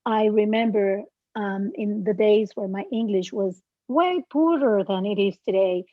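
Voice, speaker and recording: A woman from the Dominican Republic with a Dominican Spanish accent, a podcast guest who talks in an earnest, reflective way with many pauses and fillers. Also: mid-range voice, dry recording, narrow, tinny audio. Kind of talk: podcast interview.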